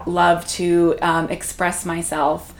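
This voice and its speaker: Low-voiced woman chatting reflectively. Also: little pitch movement, takes it slowly, a little hiss or hum. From Canada. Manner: reflective, chatty, many fillers. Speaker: guest. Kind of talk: conversational interview. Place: room in Toronto.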